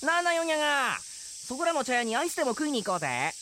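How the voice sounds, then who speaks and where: A man acting as a brash young samurai trainee in a comic radio drama, speaking in a rough, grumbling, slangy way. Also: very high-pitched, sing-song, fast talker, sharp tone, slight background noise, pitched above the performer's own voice, full character voice. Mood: grumbling, breezy.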